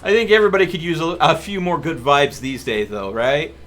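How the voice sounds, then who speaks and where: A man's voice: on the high side, brisk, fairly bright tone, soft background music. A man doing live gameplay commentary as a streamer, chatting to his viewers and muttering to himself as he plays. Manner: talks to chat and mutters to himself. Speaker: game streamer.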